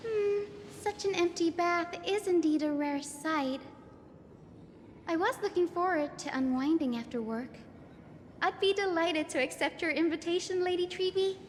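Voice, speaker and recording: A woman's voice: very high voice, normal speed, a little hiss or hum. A woman playing a polite, earnest young female scholar in a video game. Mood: polite, pleased. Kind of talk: scripted game voice acting.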